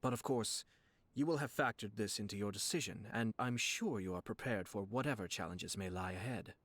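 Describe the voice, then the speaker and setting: An actor playing a composed, shrewd young nobleman in a game, speaking formally, politely and with measured words. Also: lowish voice, fairly bright tone, no echo. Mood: measured concern. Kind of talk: game character acting. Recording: faint music behind the voice.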